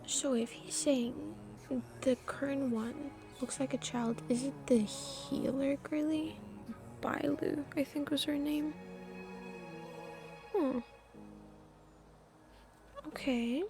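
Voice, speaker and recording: High, creaky woman streamer musing casually. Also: fairly even intonation, takes it slowly, long pauses, over music. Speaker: live game streamer. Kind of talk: casual chat and reactions while playing.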